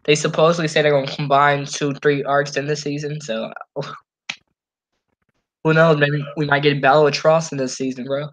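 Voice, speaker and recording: A man's voice: fairly high pitch, flat delivery, normal speed, slight background noise, rough recording. A man co-hosting a podcast, chatting casually as a fan in a slangy, emphatic way. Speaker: podcast co-host.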